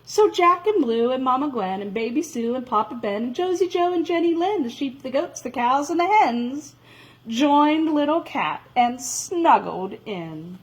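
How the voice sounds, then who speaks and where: A woman reading a children's story aloud in a dramatic, expressive way, drawing out words for emphasis. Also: sits high, measured pace, poor audio.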